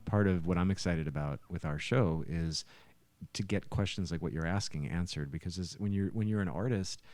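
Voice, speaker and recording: Low-voiced man chatting on a podcast. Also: normal speed, darkish tone, no room sound. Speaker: musician co-hosting a podcast. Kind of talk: casual podcast chat. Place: living room with soundproofing.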